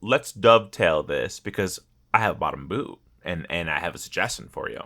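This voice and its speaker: A man's voice: low-pitched, expressive, normal speed, bright, forceful tone. A man co-hosting a podcast in casual chat, with an emphatic, sweary manner. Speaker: podcast co-host.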